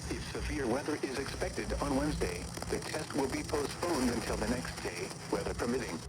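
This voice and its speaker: Male synthetic radio announcer, mid pitch, formal and impersonal, buried in heavy noise. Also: animated, brisk. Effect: radio broadcast. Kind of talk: scripted public service announcement. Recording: very noisy weather radio reception.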